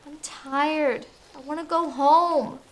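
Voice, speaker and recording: A woman's voice: high-pitched, fairly even intonation, takes it slowly, slight background noise, rough recording. A woman playing an impatient, whiny young girl in a radio play. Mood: tired, impatient. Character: impatient young girl, sister searching for reindeer.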